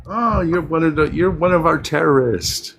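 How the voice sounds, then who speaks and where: A man's voice: on the high side, moderate pace, vocal fry, fairly bright tone, a little hiss or hum. A man taunting and mocking a guest as host of an online debate panel.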